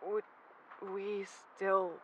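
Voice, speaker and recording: An actor playing a gentle, anxious young man in an audio drama, speaking hesitantly. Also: sits high, completely flat delivery, very slow, dull audio, slight background noise. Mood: anxious, vulnerable. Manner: hesitant, stammering.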